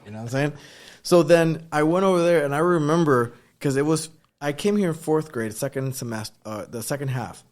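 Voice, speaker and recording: A man's voice: fairly high pitch, a little fast. A middle-aged man from Rico, co-hosting a podcast and telling casual stories, acting out what people said. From Puerto Rico. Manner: animated storyteller, acts out quotes. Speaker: podcast co-host. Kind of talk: casual storytelling.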